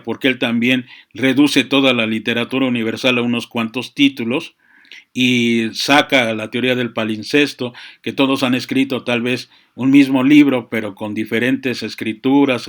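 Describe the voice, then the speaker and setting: Reflective, halting man. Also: medium pitch, fairly even intonation. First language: Spanish. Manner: halting, searching for words, frequent verbal tags. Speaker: speaker on chess and literature. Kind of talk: reflective, unscripted monologue. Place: small room with books.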